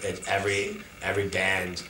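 A man with a deep, gravelly voice, answering interview questions in a casual, rambling way from a couch backstage. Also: completely flat delivery, normal speed, bright, forceful tone, echoey room, a little hiss or hum. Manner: rambling, thoughtful, many restarts. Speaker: band member. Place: backstage green room, on a couch.

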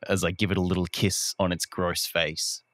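A man's voice: sits low, flat delivery, moderate pace, a bit bright, no echo. A man describing his character's actions casually as a player in a tabletop game.

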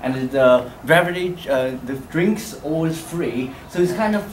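A man's voice: on the high side, expressive, unhurried, recorded from a distance. Teen male student, informal, tentative delivery; roomy sound, faint noise. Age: teenager. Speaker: student council treasurer. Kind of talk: informal meeting talk. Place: school classroom, indoors. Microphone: distant camera microphone. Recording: echoey room, faint noise.

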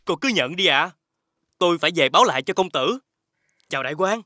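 Deferential, bright-voiced servant. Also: male voice, fairly high pitch, lively intonation, fairly quick, dry recording. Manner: obedient, formal. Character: deferential servant of a rich young master. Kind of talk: animated fairy-tale dubbing.

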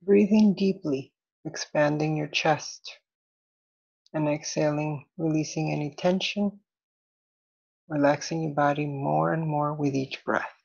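A woman leading a guided meditation as an instructor, soothing and deliberate, in measured phrases with long pauses. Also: low voice, steady tone, gravelly, darkish tone, husky, muffled recording.

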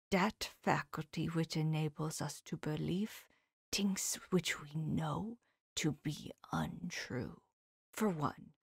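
A woman voicing an elderly male professor in an audiobook, speaking in a slow, solemn, often whispered voice with formal, old-fashioned phrasing. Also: deep voice, gravelly, breathy edge, no room sound, studio quality, heavily acted. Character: elderly male professor, grave, tender and sorrowful. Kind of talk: audiobook character voice.

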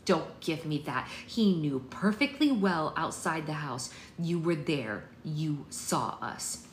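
A woman's voice: deep voice, animated, slow pace. A fiery, wounded grown daughter from a storybook, acted by a woman reader. She is blunt and emphatic, heard in a roomy space with faint noise. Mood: hurt, angry.

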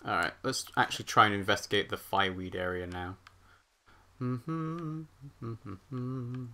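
A man's voice: on the low side, unhurried, sharp tone. A man giving casual live game commentary as a streamer, chatty, thinking aloud as he plays. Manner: chatty, reacting aloud and reading chat. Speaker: let's play streamer.

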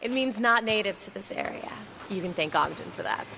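A woman's voice: mid-range voice, expressive, a little fast, gravelly, sharp tone, a little hiss or hum, low-quality recording. An American actress playing a playful, teasing young woman scientist in a video game, precise when she explains. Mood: earnest. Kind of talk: naturalistic cinematic game acting.

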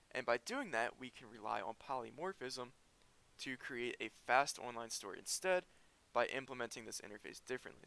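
Male coding tutor, clear bright voice, walks through code as he types. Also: mid-range voice. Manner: explains step by step while typing. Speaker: programming tutor. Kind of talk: screen-recorded coding tutorial.

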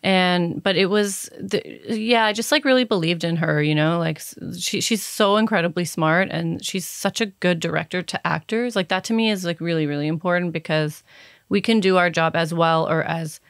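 A woman's voice: fairly low pitch, moderate pace, dry recording. A woman in her thirties, a native Serbian speaker, talking casually and thoughtfully as an interview guest, with many fillers and restarts into a studio mic. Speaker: cinematographer guest. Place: dark podcast studio. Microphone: studio mic on boom arm, headphones.

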